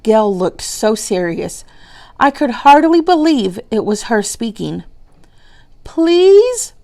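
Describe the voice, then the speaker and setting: A woman teacher narrating a children's book aloud in a deliberate, expressive way. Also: a little high. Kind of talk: storybook narration.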